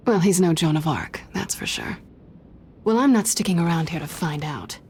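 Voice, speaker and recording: A woman's voice: fairly low pitch, lively intonation, normal speed, a little mellow, a little hiss or hum. An actress playing a tough, streetwise female thief in a video game, wry, cool and guarded. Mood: sardonic, wary.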